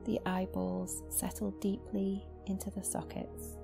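A woman teaches yoga nidra in a gentle, unhurried voice with long pauses. Her soft delivery has a noticeable creak and stays very level in pitch. New-age music plays clearly behind her. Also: level tone. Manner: slow, soothing, gentle instruction with long pauses. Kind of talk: guided meditation.

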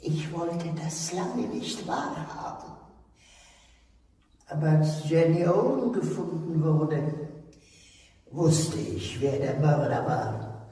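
Radio drama dowager, played by a woman: frail, remorseful, slow delivery, long hall reverb. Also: deep voice. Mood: remorseful, weary. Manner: slow, weary, soft. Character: frail, remorseful old aristocratic mother, weak. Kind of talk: German audio drama acting. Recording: very echoey hall, slightly distant.

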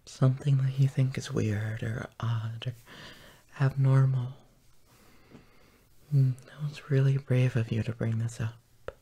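A man in an intimate boyfriend roleplay, half-whispering tenderly and with gentle dominance, with soft hums, close to the microphone. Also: medium pitch, flat delivery, measured pace, creaky, long pauses, slight background noise. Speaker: audio roleplay performer.

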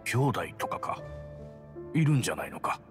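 An actor playing a loyal, weary human warrior in a game cutscene, talking in a familiar, rough and emotional manner. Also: male voice, medium pitch, expressive, moderate pace, lightly acted. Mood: desperate. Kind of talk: dramatic game cutscene acting. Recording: music under the voice. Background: background music.

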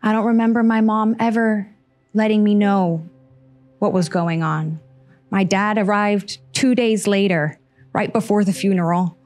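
A woman's voice: medium pitch, a little mellow. Earnest woman telling a personal story. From Costa Rica. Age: 30s. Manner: slow, earnest, heartfelt delivery with pauses. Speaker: storyteller on stage. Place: small stage in front of seated audience. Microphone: handheld mic.

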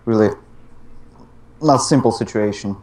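A young man in his twenties speaks unhurried English with a Russian accent. He pauses often and slips in small laughs as he answers questions in a casual online chat. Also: fairly low pitch, darkish tone, slight background noise. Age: 20s. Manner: hesitant, laughs between phrases. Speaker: interview guest, YouTuber.

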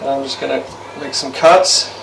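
A man's voice: medium pitch, normal speed, gravelly, a bit bright, a little hiss or hum. A young man casually talking through cooking a roast in his home kitchen, rambling with lots of fillers. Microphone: camera mic from across the room. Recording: roomy, distant camera sound.

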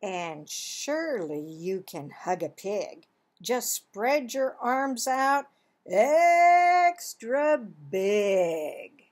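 A woman in her sixties reads a children's storybook aloud. Her voice sits a little high and she takes her time, very slowly. She phrases expressively, drawing out words and leaving pauses. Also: big pitch swings, darkish tone. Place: small home office, indoors.

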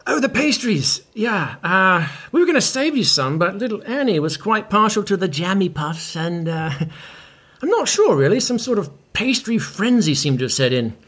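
A washed-up rock star for a comedy podcast. His voice is husky and very animated in pitch. He rambles breezily and shamelessly, full of flippant slang. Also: moderate pace, full character voice. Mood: sheepish, evasive. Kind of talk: audio comedy acting.

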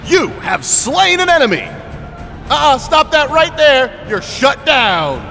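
A man voicing a cocky, flamboyant esports game announcer, showboating playfully with drawn-out calls. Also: extremely high pitch, sing-song, creaky, bright, forceful tone, exaggerated voice. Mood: bossy. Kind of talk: video game announcer callouts. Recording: long reverb, music underneath.

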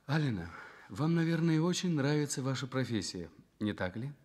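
An actor in a Soviet radio drama playing a lonely, gentle, courteous journalist in a hospital bed, quietly in love. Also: male voice, mid-range voice, animated, normal speed, lightly acted. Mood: tender curiosity.

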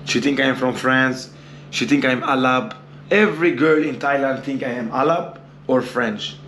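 A man's voice: mid-range voice, moderate pace, fairly bright tone, a little hiss or hum. A young man from Brazil with a Brazilian accent, vlogging loosely and boastfully in broken English to a camera held at arm's length in a small hotel room. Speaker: travel vlogger. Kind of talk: casual vlog talk to camera.

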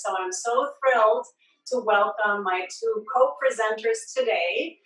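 A woman in her forties hosting a cooking class with an animated, enthusiastic presenting manner. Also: on the high side. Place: home kitchen. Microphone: device mic a few feet away. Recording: echoey kitchen, distant mic.

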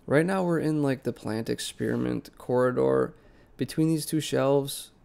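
A man's voice: mid-range voice, a little slow, gravelly. A young man with a congested, nasal cold voice, chatting casually as a vlog host about doing chores in his basement plant room. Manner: relaxed, rambling, conversational. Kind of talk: casual voice-over vlog narration.

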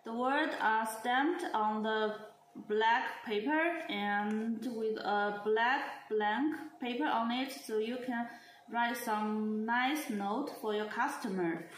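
Slow, halting woman unboxing brushes. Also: on the high side, reverberant, a little hiss or hum. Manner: slow, halting, simple phrasing with many restarts. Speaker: brush company representative. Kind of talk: product unboxing walkthrough. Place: indoor showroom at a marble table.

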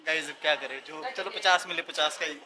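A man's voice: a little high, fairly even intonation, quick pace, crowd noise. A young man in his twenties vlogging casually to the camera, talking to viewers as guys while walking through a mall. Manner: chatty, addresses viewers as guys. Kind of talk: casual vlog narration. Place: busy indoor shopping mall. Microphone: handheld camera at arm's length. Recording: loud background music.

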